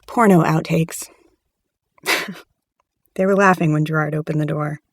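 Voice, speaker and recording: A woman's voice: low-pitched, fairly even intonation, unhurried, no echo, clean studio sound. A woman author reading her own story aloud with giggly, self-mocking asides, and coaching a guest through recording. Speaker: author directing a recording session. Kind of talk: reading and recording-booth chat.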